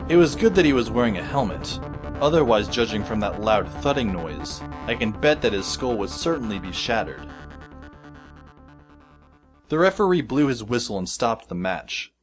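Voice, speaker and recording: A wry, observant schoolboy played by a man tells his story in an audio drama. His narration is scripted, wordy and descriptive, at a middling pitch, with music clearly playing behind it. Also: sing-song, moderate pace, natural voice. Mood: wry, intent. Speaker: first-person narrator.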